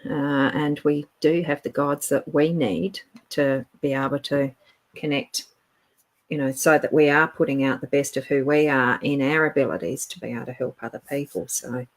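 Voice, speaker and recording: A woman co-hosting a casual spiritual chat, speaking in a reflective, gentle way with frequent agreeing murmurs. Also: extremely low pitch, fairly even intonation, normal speed.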